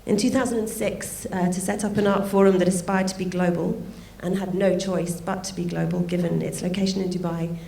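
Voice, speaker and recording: Woman, croaky gravelly voice, mellow tone, opening speech, roomy hall. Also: on the low side, little pitch movement, brisk, hardly any pauses. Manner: thanks people warmly, with light asides. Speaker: art fair director opening a forum. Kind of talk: welcome speech. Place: event venue. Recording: roomy hall sound, faint noise.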